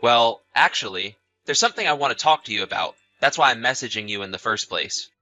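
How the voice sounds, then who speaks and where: A man's voice: mid-range voice, animated, a little fast, bright, forceful tone, faint music behind. A man acting a betrayed husband in a dramatized story, polite but suspicious and accusing, with a theatrical, emphatic delivery. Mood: guarded. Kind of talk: dramatized text-message story narration.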